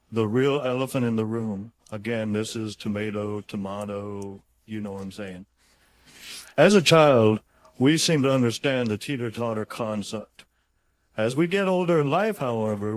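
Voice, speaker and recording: A man reading his own motivational audiobook chapter in an informal, folksy and emphatic conversational way. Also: on the high side.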